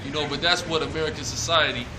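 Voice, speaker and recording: A man's voice: on the high side, steady tone, fast talker, echoey room, noisy background. A man preaching and arguing on the street in a profane, confrontational, slangy way.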